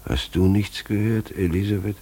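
Middle-aged farmer, low husky voice, slow, brooding, troubled, radio drama. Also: male voice, steady tone, warm tone. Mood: uneasy, brooding. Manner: slow, heavy, pensive. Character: brooding, troubled farmer and father, eerily compelled. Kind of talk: German radio play acting.

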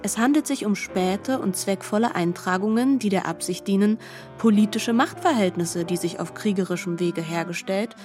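Composed woman narrator with medium-pitched voice. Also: normal speed, a little mellow, faint music behind. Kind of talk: literary scripted narration in a radio play.

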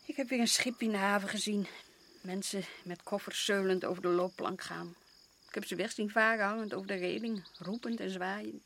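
An actress playing a weary, disillusioned colonial official's wife in a radio play, sharp-tongued and reproachful. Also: female voice, medium pitch, steady tone, normal speed, a little hiss or hum, natural voice. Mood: despairing, weary. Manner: pointed, reproachful. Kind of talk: radio drama acting in Dutch.

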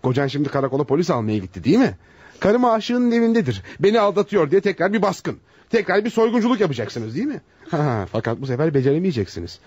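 An actor playing an indignant, wounded psychologist in a radio play, speaking with scornful emphasis and formal diction. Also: male voice, on the high side, very animated, fast, darkish tone, husky, no room sound. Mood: outraged. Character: indignant, wounded male psychologist, educated and lovestruck. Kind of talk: Turkish radio theater acting. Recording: dull lo-fi radio recording.

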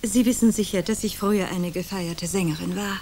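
An actress playing a theatrical older woman, a former celebrated opera singer, who speaks grandly and drops into hushed whispers. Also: mid-range voice, a little fast, a little hiss or hum, natural voice. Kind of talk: radio drama acting.

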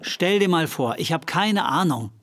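An actor playing a gruff, bullish, evasive bike repairman in a radio drama, terse and dismissive. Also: on the high side, expressive, normal speed, noisy background, natural voice. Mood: brusque, evasive.

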